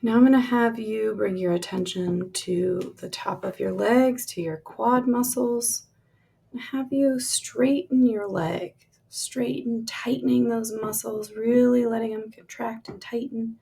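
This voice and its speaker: Slow, very creaky woman guiding meditation. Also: a little high, fairly even intonation. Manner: soft, lingering phrasing, trailing into breathy releases. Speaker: meditation guide. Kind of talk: guided relaxation meditation, slow spoken instructions.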